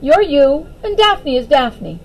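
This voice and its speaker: A gentle, wise male storybook character, put on by a woman reader, kindly and encouraging. The voice sits high and moves around in a lively way. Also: slow, slight background noise, heavily acted, poor audio. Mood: reassuring.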